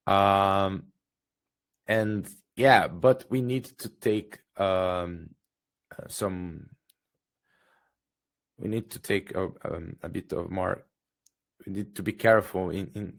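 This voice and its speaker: A man speaking English with a Portuguese accent, not his first language, slightly hoarse from being sick, presenting on a video call with many hesitations and restarts. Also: low-pitched, takes it slowly, pauses often. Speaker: guest presenter. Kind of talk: webinar presentation.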